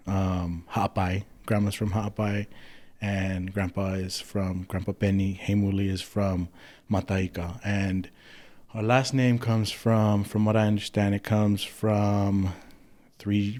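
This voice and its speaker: Laid-back, flat-voiced man from Hawaii. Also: fairly low pitch. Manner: easygoing, rambling, with frequent um and so. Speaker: podcast guest, clothing company owner. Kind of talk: casual storytelling about family history.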